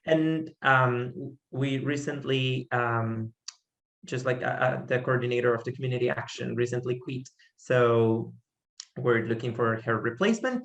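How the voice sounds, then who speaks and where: A man's voice: medium pitch, creaky, reverberant. A young man from Guatemala with a Guatemalan Spanish accent, a native Spanish speaker giving a friendly, earnest presentation over a video call, with many fillers and restarts. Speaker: conservation organization founder. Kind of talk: online presentation talk.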